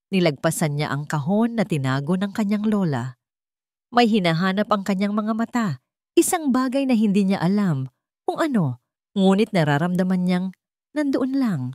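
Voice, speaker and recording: A woman with a Filipino accent narrating a scripted romance story in a dramatic, deliberate manner. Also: low voice, darkish tone, no echo, clean studio sound.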